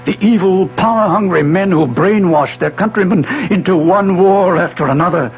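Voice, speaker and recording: An actor playing an obsessed elderly physics professor in a 1970s radio drama, formal, insistent and fervent, with a declamatory delivery. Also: male voice, high voice, normal speed, slight background noise, natural voice. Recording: dull 1970s radio broadcast, faint music.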